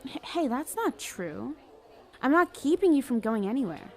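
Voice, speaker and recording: A woman playing a smug, lovestruck female villain in an ASMR roleplay, coaxing and teasing the listener in a creaky, conversational voice. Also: sits high, big pitch swings, normal speed, a little mellow. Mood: flustered, protesting. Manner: teasing, cajoling, conversational with hesitant stammers. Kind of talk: ASMR roleplay, addressing the listener as love interest.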